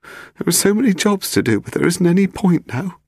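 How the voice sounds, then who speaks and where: A grieving, dutiful housekeeper woman played by a male narrator, her voice pitched high. She falters tearfully in a dry, close audiobook recording. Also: big pitch swings, moderate pace, darkish tone, slightly rough, no room sound, heavily acted. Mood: tearful grief.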